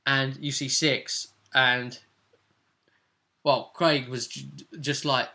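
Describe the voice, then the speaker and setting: Bright-voiced man chatting as game master. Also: medium pitch, flat delivery, takes it slowly. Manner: informal, seeks agreement with tag questions. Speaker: game master recapping a tabletop session. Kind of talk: casual chat recapping game play.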